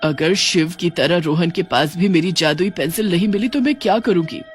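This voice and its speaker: A man's voice: sits high, normal speed, soft background music, lightly acted. A man voicing a menacing, short-tempered female witch in a dramatic horror storybook, demanding and threatening. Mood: frantic, suspicious.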